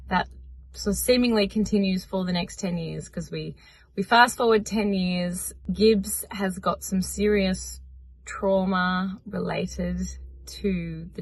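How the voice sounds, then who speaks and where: A woman's voice: fairly low pitch, gravelly, no room sound. A woman book vlogger earnestly recapping the plot of a novel she is reading.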